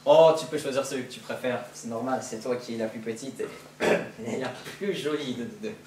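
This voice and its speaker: A student actor playing a sly, hungry male wolf who coaxes gently, heard from far off in an echoey school hall. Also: mid-range voice, normal speed, noisy background, natural voice. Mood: coaxing. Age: teenager. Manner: gentle coaxing, feigned sweetness. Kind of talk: school stage play. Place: school stage.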